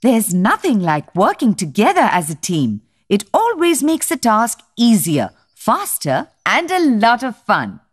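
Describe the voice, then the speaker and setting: Husky, cheerful cartoon fruit. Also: female voice, fairly high pitch, wildly swooping pitch, unhurried, hardly any pauses, heavily acted. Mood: upbeat. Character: cheerful, helpful young fruit character in a children's cartoon. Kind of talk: animated children's cartoon dialogue.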